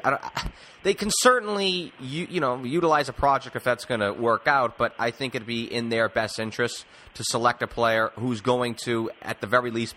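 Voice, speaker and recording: A man's voice: mid-range voice, bright, forceful tone, slight background noise. A man hosting a casual sports podcast, rephrasing as he circles toward long, rambling questions.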